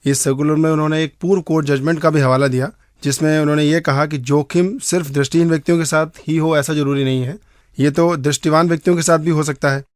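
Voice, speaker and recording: A man's voice: on the high side, fairly even intonation, fast talker, no room sound, clean studio sound. A man with an Indian accent, an advocate explaining procedures in an interview answer. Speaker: advocate giving legal advice.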